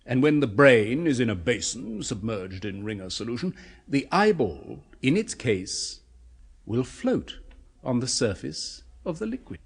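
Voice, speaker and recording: A man of science in a 1970s radio chiller, fervent and persuasive. His pitch leaps about with excitement and his voice has a husky edge. He explains macabre things with clinical precision and coaxing zeal. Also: unhurried, exaggerated voice. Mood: fascinated, explanatory. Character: eager, persuasive male scientist obsessed with a macabre experiment. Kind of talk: 1970s British radio horror play acting.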